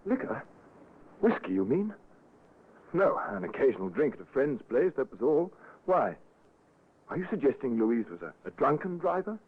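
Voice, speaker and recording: Anxious bereaved husband, husky, swooping pitch, halting speech, radio play, muffled vintage recording. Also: male voice, very animated. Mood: defensive, distressed. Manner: halting, breaking off and repeating himself. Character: anxious, grieving suburban husband, outwardly respectable. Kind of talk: old-time radio drama acting.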